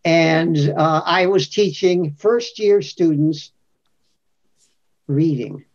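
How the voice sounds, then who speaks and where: A man speaking as a panelist in a webinar, telling a story slowly and deliberately, often repeating his points. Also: a little high. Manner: slow, deliberate storytelling with repetition. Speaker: panelist, reading scholar. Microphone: video call. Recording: muffled lo-fi webinar audio.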